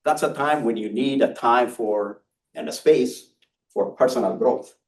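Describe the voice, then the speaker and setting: A mature man from Ethiopia with an Ethiopian accent, giving a public lecture with personal storytelling in a deliberate, hesitant, emphatic way. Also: mid-range voice, darkish tone. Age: middle-aged or older. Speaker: university engineering lecturer. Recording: roomy, distant microphone.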